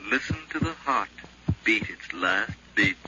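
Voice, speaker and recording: An actor voicing a sinister, goading inner voice, insistent and repetitive, in a 1950s radio drama. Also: male voice, on the low side, lively intonation, a little slow, very noisy. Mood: sly, taunting. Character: sinister inner voice, male, goading and taunting a killer. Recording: muffled 1950s radio recording. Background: loud dramatic music.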